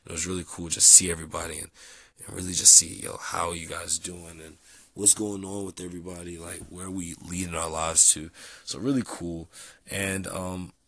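A young man with a very low, husky voice that sounds nasal and dried out from a cold. He rambles along casually with plenty of ums, bright and forward in tone. The sound is close and dry. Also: moderate pace. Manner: relaxed, rambling with many ums. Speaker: vlogger. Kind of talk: casual audio log.

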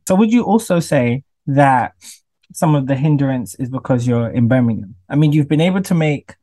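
A man's voice: medium pitch, animated, moderate pace, mellow, dry recording. A man hosting a casual podcast interview in a conversational style.

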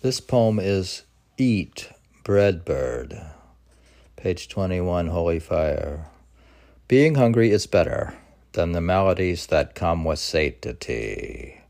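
A man in his sixties, a poet, talking casually and reading poems in a halting, musing, gravelly way. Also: sits low, big pitch swings, darkish tone. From United States. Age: 60s. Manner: halting, musing, talks in slow fragments. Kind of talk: casual rambling talk mixed with poetry reading.

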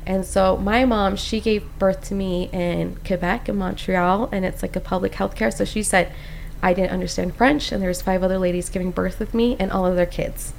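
A woman's voice: medium pitch, moderate pace, creaky, a little hiss or hum. A young woman from Costa Rica, a postpartum doula, telling her story in a casual chat, full of "like" and "um". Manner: animated storyteller, many "like"s and "um"s.

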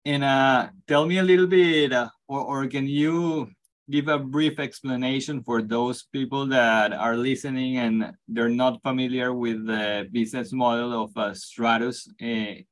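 Man hosting a podcast with halting questions. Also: medium pitch, steady tone. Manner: friendly, hesitant questions with many "uh". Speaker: podcast host. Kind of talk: podcast interview.

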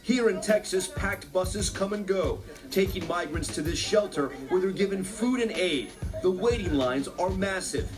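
A man with a distinctly high voice narrates a scripted news report. He builds it in dramatic, measured phrases, with music playing clearly underneath. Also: expressive. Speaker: news correspondent.

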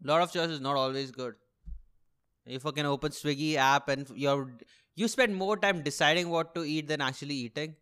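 A man's voice: a little high, moderate pace, a bit bright, clear, resonant tone. A young man with an Indian accent rambling casually as a solo comedy podcast host, sweary and self-deprecating, with a complaining delivery. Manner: self-deprecating, sweary, repeats and rephrases himself.

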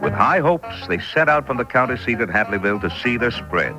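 A man's voice: medium pitch, lively intonation, brisk. A man narrating a scripted Western radio drama as a storyteller. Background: country banjo music.

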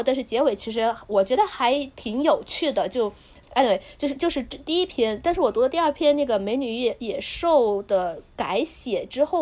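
A woman with a fairly high voice talks casually as a podcast co-host. She feels her way through her thoughts, repeating words and rephrasing as she discusses what she has read. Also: a little mellow.

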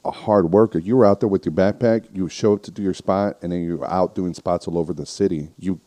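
A male comic guest talks with easy enthusiasm, joking and cursing as he goes. His voice is low and mellow. It is recorded close and dry. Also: steady tone, quick pace, no room sound. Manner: enthusiastic, joking, swears freely. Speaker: podcast guest and stand-up comic. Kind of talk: casual podcast chat.

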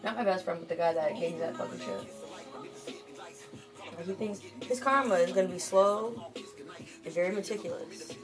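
A woman's voice: on the low side, lively intonation, normal speed, long pauses. Profane rambling young woman over music. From Michigan. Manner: rambling, profane, filler-heavy. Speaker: vlogger. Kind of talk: casual late-night chat. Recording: music playing behind.

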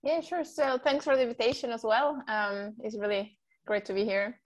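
Podcast guest: woman, 40s, Spanish native, relaxed and rambling. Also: fairly high pitch, normal speed. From Mexico. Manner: chatty, many fillers and restarts. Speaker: guest, marine biologist. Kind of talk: casual interview answers.

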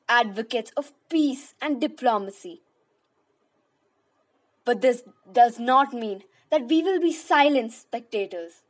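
A fairly high voice of a woman acting as a national leader, grave and determined. The speech is formal radio oratory, read out deliberately. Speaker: student performer in a school audio roleplay.

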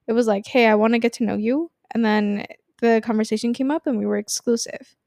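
A young woman from Illinois chatting on a podcast in a slightly high voice. The recording is dry. She explains relationship advice in an easygoing, straightforward way. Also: fairly even intonation, a little fast, gravelly, darkish tone, no echo. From Aurora, Illinois. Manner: laid-back, matter-of-fact advice. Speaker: podcast co-host. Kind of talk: casual chat.

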